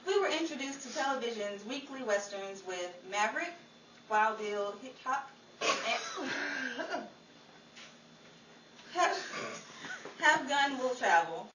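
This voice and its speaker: A woman narrates from a script in a fairly high voice. She reads slowly, recorded in a roomy space a little way from the microphone. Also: expressive. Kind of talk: scripted documentary-style narration. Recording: echoey room, distant mic, faint noise.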